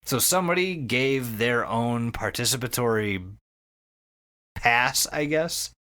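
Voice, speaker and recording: A man's voice: medium pitch, animated, slow, pushed and bright. A man hosting a podcast, recapping a story casually and enthusiastically, swearing freely and repeating words. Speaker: podcast host.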